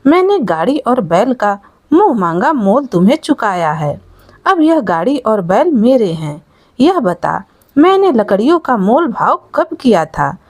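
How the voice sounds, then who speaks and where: A woman's voice: fairly high pitch, big pitch swings, a little slow, darkish tone, no room sound. A woman storyteller voicing a cunning, bullying male merchant who scolds and lectures in a moral tale. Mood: threatening, smug. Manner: scolding, lecturing, self-righteous.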